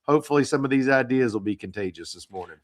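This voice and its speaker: A man hosting a financial podcast, hoarse from the flu, talking casually with frequent fillers and repeated words. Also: mid-range voice, lively intonation, fast. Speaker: podcast host.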